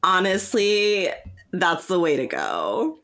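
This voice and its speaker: A woman's voice: lowish voice, huge pitch swings, takes it slowly, a bit bright, soft background music. A woman co-hosting a podcast, chatting casually in an informal, emphatic way and often saying "like". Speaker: podcast co-host.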